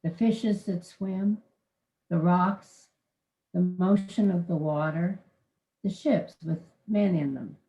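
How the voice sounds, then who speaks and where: Slow, low-voiced woman reading aloud. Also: warm tone, roomy sound, dull audio.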